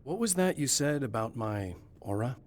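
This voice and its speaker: A man's voice: mid-range voice, big pitch swings, moderate pace. An actor playing a weary, determined male horror novelist with a dry, matter-of-fact delivery in a game cutscene. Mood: wry. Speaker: writer, protagonist.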